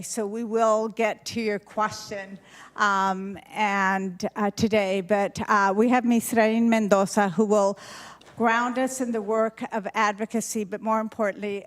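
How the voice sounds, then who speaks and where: A woman who directs a nonprofit, introducing a panel at a community meeting in a measured way with fillers between phrases. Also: medium pitch. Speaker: nonprofit executive director and moderator.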